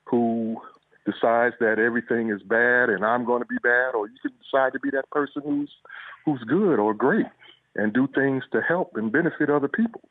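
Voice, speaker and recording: A man speaking as an interview guest over a phone-like line, explaining things in a measured, reflective, conversational way. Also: mid-range voice, dry recording. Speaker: guest, police officer and author. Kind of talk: casual reflective interview talk. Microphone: phone or call line. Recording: tinny narrow phone-like line.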